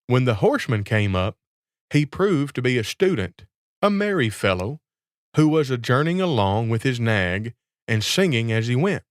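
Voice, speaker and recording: A male storyteller narrates a classic fairy tale from a script. His voice sits at a medium pitch. The recording is dry and close, with very little room sound. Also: no room sound.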